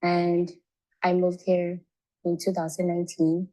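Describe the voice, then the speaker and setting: A teenage woman from Tonga, a native Tongan speaker, telling her own story in a hesitant, halting way. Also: on the low side, monotone, warm tone. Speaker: student storyteller.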